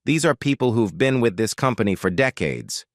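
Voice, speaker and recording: A man acts a guarded, formal woman executive in an audio drama. The tone is condescending and politely dismissive, lifted in pitch, recorded dry. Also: expressive, moderate pace, dry recording, close to an everyday voice. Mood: defensive. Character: composed, condescending female finance chief, guarded and formal.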